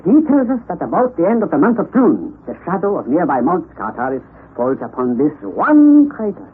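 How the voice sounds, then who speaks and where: An actor playing an eager, headstrong professor uncle, emphatic and declamatory in an old-time radio drama. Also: male voice, on the high side, huge pitch swings, normal speed, slightly rough, full character voice. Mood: lecturing, certain. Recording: tinny, noisy old radio broadcast recording.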